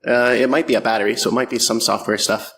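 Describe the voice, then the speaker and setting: A man's voice: mid-range voice, steady tone, fast, fairly bright tone, a little hiss or hum. A middle-aged man from Canada giving a conference talk, self-deprecating and joking, with many ums and uhs. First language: French or English, bilingual. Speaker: conference speaker. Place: conference hall.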